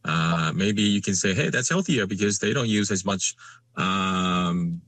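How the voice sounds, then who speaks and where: A man from Malaysia, a guest in a conversational interview, speaking haltingly with many fillers and restarts. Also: low voice, a bit bright.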